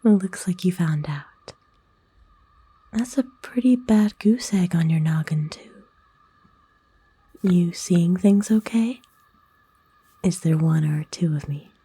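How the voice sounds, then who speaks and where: A woman acts out a kind witch of the woods for an ASMR roleplay. Her voice is a soft, extremely breathy whisper, mellow in tone and a little low. She speaks in a soothing, gently old-fashioned and playful way, like a caring host. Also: no room sound, exaggerated voice. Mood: soothing, sympathetic. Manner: soothing, folksy, unhurried. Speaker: ASMR voice actor.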